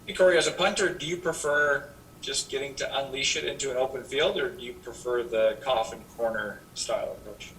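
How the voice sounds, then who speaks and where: A man's voice: steady tone, brisk, a bit bright. A man asking questions as a sports reporter at a press conference. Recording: distant mic with rumbling noise.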